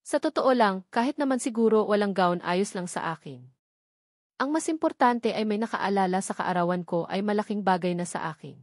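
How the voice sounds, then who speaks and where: A woman's voice: medium pitch, normal speed, dry recording, clean studio sound. A woman reading a scripted first-person story as an audiobook narrator.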